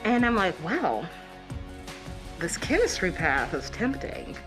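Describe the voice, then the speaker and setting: A young woman college student telling her story in a lively documentary interview. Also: medium pitch, slow. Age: young adult. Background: music.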